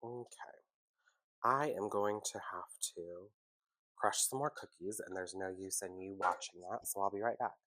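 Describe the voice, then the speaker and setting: A man hosting a casual home baking tutorial, chatty and relaxed, with a congested, sniffly, nasal voice from a cold. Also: sits low, normal speed, dry recording, low-quality recording. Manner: chatty, relaxed, self-deprecating asides.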